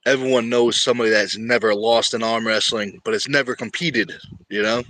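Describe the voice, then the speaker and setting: A man's voice: on the low side, steady tone, a little fast, bright, forceful tone, no echo, poor audio. An easygoing man hosting a casual livestream and chatting with viewers about his sport. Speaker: livestream host. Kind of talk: casual livestream chat about arm wrestling.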